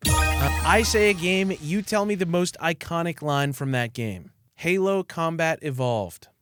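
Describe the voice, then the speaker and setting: A man hosting a casual interview, upbeat and enthusiastic with quick reactions. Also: fairly high pitch, animated, a bit bright, clear, resonant tone, soft background music. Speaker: podcast host.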